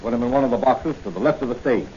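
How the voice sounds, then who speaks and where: A muffled, faintly noisy old radio crime drama. An actor plays a commanding gang chief with a medium-pitched male voice and a curt, menacing delivery. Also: very fast, a little mellow, lightly acted. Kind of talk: 1940s radio acting.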